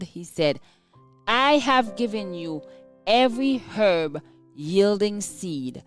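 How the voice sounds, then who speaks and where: Slow, deliberate woman reading a devotional. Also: mid-range voice. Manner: measured, deliberate phrasing. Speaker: devotional reader. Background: instrumental music.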